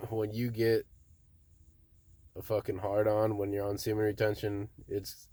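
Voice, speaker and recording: A young man casually telling a story to his viewers in a monotone, laid-back way, often saying "bro". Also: fairly low pitch, dry recording. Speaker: vlogger.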